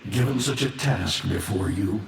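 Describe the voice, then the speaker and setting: A man's voice: fairly low pitch, normal speed, creaky. A male actor playing an ancient, brooding alien war-being in a video game, grave and scornful, with solemn, portentous delivery drenched in reverb. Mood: grave counsel. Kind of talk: theatrical scripted video game voice acting. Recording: cavernous long reverb, faint background noise.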